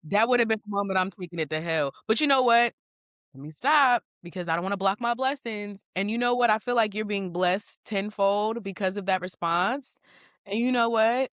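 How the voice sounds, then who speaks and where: A woman in a casual podcast chat, talking in an animated, sassy way with emphatic rhetorical asides. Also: mid-range voice, moderate pace, no echo, clean studio sound. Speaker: podcast guest, project manager.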